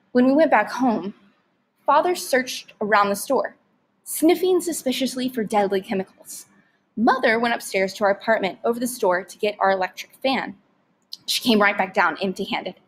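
A woman narrating a story aloud in an expressive style with deliberate, emphatic phrasing. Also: mid-range voice, slightly rough.

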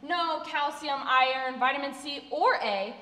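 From some way off in an echoey hall, an actress plays a nagging, health-obsessed woman. Her voice is very high and bright. She lectures with fussy, anxious earnestness in a stage comedy. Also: unhurried. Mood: preachy. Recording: echoey hall, distant microphone.

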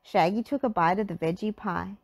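A woman narrating a children's storybook in an animated read-aloud style. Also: medium pitch, a little fast, dry recording.

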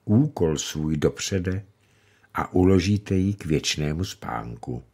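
A man reading the part of a gentle, wise old grandmother in an audiobook, speaking slowly and softly with a husky voice. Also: low-pitched, expressive, exaggerated voice. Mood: calm, tender. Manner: slow, soft-spoken, unhurried.

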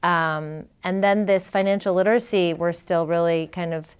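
A woman's voice: fairly low pitch, steady tone, normal speed, clear, resonant tone. A woman in her thirties talking casually as a guest in a studio interview. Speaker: business co-owner, interview guest. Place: small TV studio. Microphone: lapel mic.

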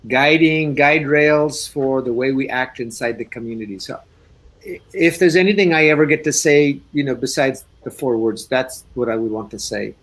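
A man speaking English on a video call, relaxed and thinking aloud with restarts as a guest speaker. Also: mid-range voice, normal speed. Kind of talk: casual talk on a video call.

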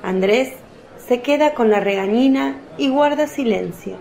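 Higher-pitched woman narrator. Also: measured pace, gravelly, mellow. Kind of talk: scripted storytelling narration for radio drama.

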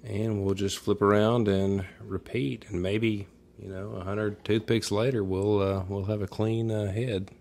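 Guy with a lowish voice doing a laid-back diy walkthrough at home, kinda thinking out loud. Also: little pitch movement, a little slow, gravelly. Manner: informal, folksy, thinking aloud. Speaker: DIY demonstrator. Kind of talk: casual how-to narration. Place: indoor room at home.

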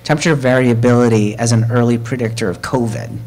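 A man's voice: mid-range voice, fairly even intonation, quick pace, a little mellow. A man chairing a committee at a hybrid meeting, talking conversationally with fillers and restarts.

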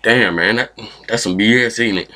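A man's voice: lowish voice, normal speed, bright, forceful tone. A man voicing a brash young male thug for a prank call, cocky and slangy, with swearing. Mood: cocky, stubborn.

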